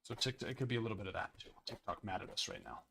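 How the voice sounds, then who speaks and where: A man hosting a sports card break livestream, chatting casually with viewers in a slightly hoarse voice. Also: very fast, background noise. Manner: chatty, rambling, upbeat sales pitches.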